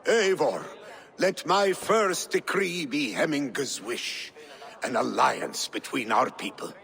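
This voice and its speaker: An actor playing an honorable, humble Viking Jarl in a video game, with a gravelly voice and a solemn, halting manner. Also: male voice, fairly high pitch, fairly bright tone, exaggerated voice. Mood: solemn, proud. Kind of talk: dramatic video game acting. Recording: long hall reverb.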